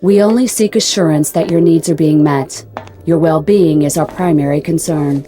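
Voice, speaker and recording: A woman playing a polite, literal-minded spaceship computer in an audio drama, speaking in a formal, measured, impassive way. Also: deep voice, warm tone, faint music behind, lightly acted. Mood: politely firm. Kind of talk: radio drama dialogue.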